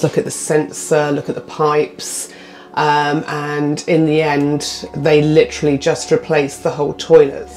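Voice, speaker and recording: Woman, forties, chatty vlog, low voice, faint background music. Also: darkish tone. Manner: chatty, frequent ums, laughs often. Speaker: vlogger. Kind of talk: casual vlog talk to camera. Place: inside a narrowboat, small room. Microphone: phone camera at arm's length.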